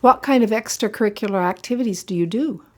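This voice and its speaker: A woman asking simply phrased, measured interview questions for English learners. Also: mid-range voice.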